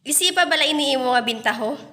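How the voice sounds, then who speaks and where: A woman's voice: sits high, animated, quick pace, pushed and bright. A woman voicing a mother who warns and scolds her young daughter. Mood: scolding. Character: scolding fisherman's wife, a mother.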